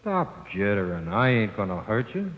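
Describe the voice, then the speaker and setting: Needling dead-gangster ghost, slangy and gruff, animated delivery, thin phone-like old radio sound. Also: male voice, fairly low pitch, moderate pace, in a large hall. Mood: impatient. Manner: slangy, ungrammatical, coolly needling tough-guy talk. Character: ghost of an executed gangster, gruff, taunting, unbothered. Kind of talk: old-time radio crime drama acting.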